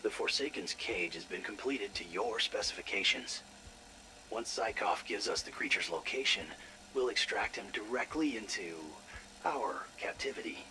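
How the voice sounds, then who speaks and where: An actor playing an irritable, sarcastic, foul-mouthed scientist in a video game audio log. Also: male voice, fairly low pitch, expressive, normal speed, sharp tone, slight background noise, low-quality recording. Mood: sly, conspiratorial. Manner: sarcastic, exasperated, profane.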